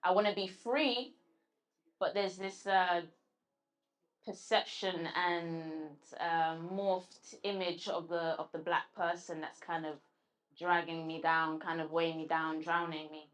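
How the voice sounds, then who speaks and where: Woman poet, halting and reflective. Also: fairly low pitch, fairly even intonation. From London. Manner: halting, searching for words with restarts when answering. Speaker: guest poet. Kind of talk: spoken word recital and interview answers.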